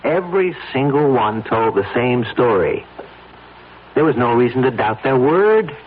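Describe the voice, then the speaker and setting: A man's voice: mid-range voice, big pitch swings, a little slow. An actor playing a guarded, brusque conspirator in a radio drama, clipped and dismissive in his delivery. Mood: hard, controlled. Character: curt, cold, guarded male conspirator in a murder. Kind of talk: old-time radio drama acting. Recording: tinny, narrow radio recording with noise.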